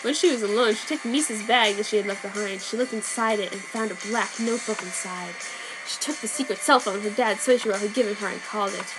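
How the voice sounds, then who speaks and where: Woman reading with deadpan mock drama. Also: medium pitch, fast, low-quality recording. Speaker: reader. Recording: background music. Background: soundtrack music.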